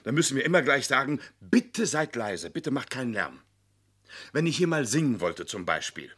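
A man's voice: medium pitch, sing-song, normal speed, a bit bright, slightly rough. An actor in a seventies radio play, playing an indignant, stubborn father who dreams of owning a house. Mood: insistent, exasperated. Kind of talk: 1970s German radio play acting.

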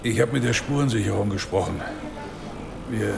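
An actor playing a frank, sceptical police colleague, chatting casually in a radio crime drama. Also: male voice, lowish voice, normal speed. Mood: casual, businesslike. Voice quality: gravelly, heavy fry. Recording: busy crowd chatter behind. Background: crowd.

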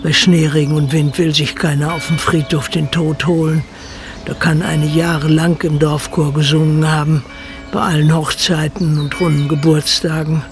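A man as a gravelly dry village narrator. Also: fairly high pitch, level tone, normal speed, faint music behind, natural voice. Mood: wry. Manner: dry, matter-of-fact, colloquial. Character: gossipy village narrator, dry and matter-of-fact. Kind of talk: radio play narration.

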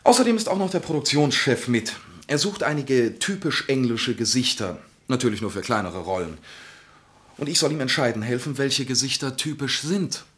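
A man plays a breezy film writer in a radio drama. He chatters and name-drops with exuberance, his mid-pitched voice swinging widely up and down. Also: animated, moderate pace, a bit bright, natural voice. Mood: self-important. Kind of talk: German radio play acting.

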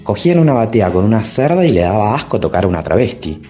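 Man voicing a deep, wry travesti diarist. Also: very animated, fast talker, warm tone, faint music behind. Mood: wry, proud. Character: frank, flamboyant travesti poet reading from a diary. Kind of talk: dramatic literary monologue over music.

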